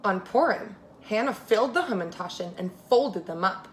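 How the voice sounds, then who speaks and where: A woman preschool teacher reading a story aloud to young children, expressive and speaking to them directly. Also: medium pitch, moderate pace.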